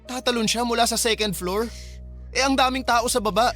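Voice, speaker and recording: A man's voice: extremely high pitch, fairly even intonation, fairly quick, a bit bright, faint music behind. A Filipino man acting in a radio drama as a concerned but skeptical young friend who asks questions. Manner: questioning, doubtful.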